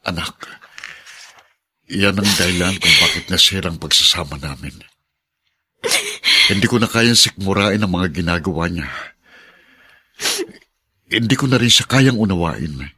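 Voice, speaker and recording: Filipino radio drama dad, grave and burdened, low husky voice. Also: male voice, expressive, measured pace, pauses often, bright, forceful tone, exaggerated voice. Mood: grave, resigned. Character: grave, weary father, burdened by family shame.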